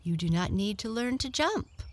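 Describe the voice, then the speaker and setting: A woman's voice: on the high side, expressive, moderate pace, pitched above the performer's own voice. A woman voicing a polite, adventurous female cat in a children's storybook, kindly, earnest and a little homesick.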